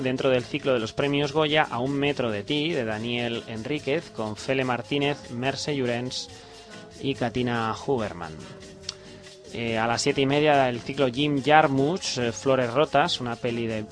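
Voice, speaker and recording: A male radio host with a Spanish accent from Spain runs through cultural listings in a chatty, colloquial way. His voice sits at a middle pitch, with small hesitations and asides. Music plays behind him. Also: steady tone, a little slow. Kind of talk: informal radio cultural agenda, chatty commentary.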